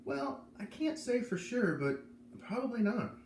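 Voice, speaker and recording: A man's voice: extremely high pitch, very animated, unhurried, vocal fry, voice pushed up, exaggerated voice. A kindly but grave male doctor as a man reads him from a children's novel explains carefully and with measure. The voice sits in an echoey room with faint noise. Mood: grave explaining. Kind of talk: read-aloud of a children's novel. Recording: roomy echo, noticeable background noise.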